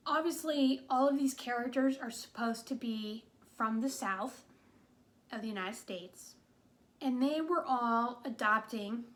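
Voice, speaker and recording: A woman's voice: fairly high pitch, a bit bright. A woman from New England giving a casual, wry movie review, full of "like" and "um.". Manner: chatty, wry, with frequent "like" and "um".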